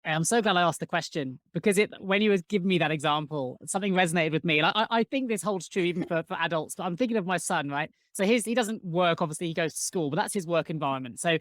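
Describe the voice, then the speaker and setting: A man's voice: high voice, fast talker, few pauses, a bit bright, no echo. A man hosting a podcast interview, chatty and enthusiastic as he talks. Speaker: podcast host.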